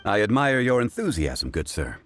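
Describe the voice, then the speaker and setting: A man voicing a composed, modest, erudite gentleman in a video game, formal, measured and courteous. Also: fairly low pitch, sing-song, faint music behind. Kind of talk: video game dialogue acting.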